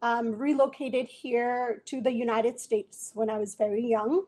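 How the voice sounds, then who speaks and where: A woman from Bangladesh, a tech executive, answering interview questions remotely in a measured way with frequent "um"s. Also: fairly high pitch, fairly even intonation, mellow.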